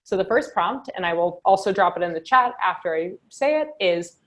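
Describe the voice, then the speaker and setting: Casual woman leading an online workshop. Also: mid-range voice. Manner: casual, upbeat, many fillers and "right?" asides. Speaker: workshop host. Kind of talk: guiding a journaling workshop on a video call.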